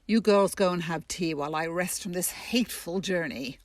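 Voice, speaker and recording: A woman's voice: on the low side, normal speed, no echo, studio quality, close to an everyday voice. A woman playing a haughty, scolding old aunt in an audio drama. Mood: grumbling. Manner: imperious, scolding. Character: haughty, grumbling old aunt chaperoning her nieces.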